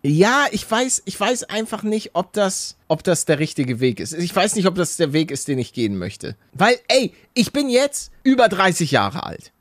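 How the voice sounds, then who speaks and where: A man's voice: high-pitched, big pitch swings, moderate pace, few pauses, no room sound. A man in his forties co-hosting a podcast, joking casually with many restarts and laughs. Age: 40s. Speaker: podcast co-host.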